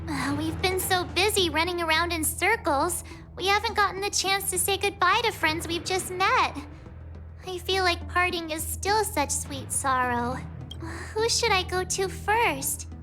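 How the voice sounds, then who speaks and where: A woman's voice: very high-pitched, unhurried, over music. A woman voicing a cheerful, chatty teenage girl traveler in a video game. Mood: wistful, chatty.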